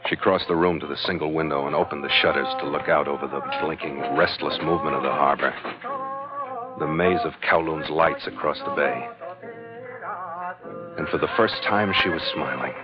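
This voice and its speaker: A man's voice: very deep voice, moderate pace. An actor playing a tough, self-contained adventurer in an old radio drama, telling his story in a terse, hard-boiled way. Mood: hard-boiled narrating. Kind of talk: old-time radio drama acting and first-person narration. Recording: dull vintage radio recording, loud music behind.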